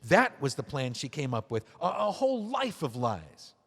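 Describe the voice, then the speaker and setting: An actor playing a betrayed widower in an audio drama, mocking and seething with anger. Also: male voice, fairly high pitch, sing-song, moderate pace, fairly bright tone, husky, heavily acted. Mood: angry. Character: bitter betrayed widower, man. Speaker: widower writing a memoir. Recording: long hall reverb.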